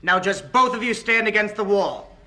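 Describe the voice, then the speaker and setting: A man's voice: high-pitched, moderate pace, sharp tone. A man playing a confident, earnest young deputy in a 1970s radio drama, firm and commanding.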